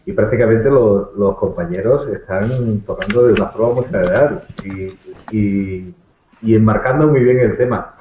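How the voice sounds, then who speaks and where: A man's voice: on the low side, unhurried, slight background noise. A man hosting an online panel, introducing guests in a hesitant way and often restarting his phrases. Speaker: host, moderator. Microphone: video call. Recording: tinny echoey phone-like line.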